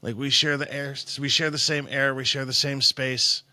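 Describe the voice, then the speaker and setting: A man's voice: medium pitch, steady tone, normal speed, sharp tone, no echo. A man hosting an interview, easygoing and friendly, with lots of casual agreement. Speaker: podcast host.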